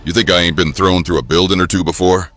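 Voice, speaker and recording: A very deep, forceful comic book bruiser made of rock, big-hearted and cocky. He talks in New tough-guy slang with a gruff edge, over music. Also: fairly even intonation, fast talker, no echo. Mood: cocky, combative. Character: gruff, wisecracking rock-skinned superhero brawler, big and good-hearted.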